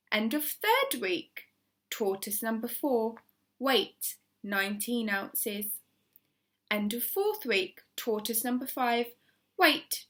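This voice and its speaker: A woman reading a children's story aloud like a primary teacher, expressive and deliberate, leaning on key words. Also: a little high.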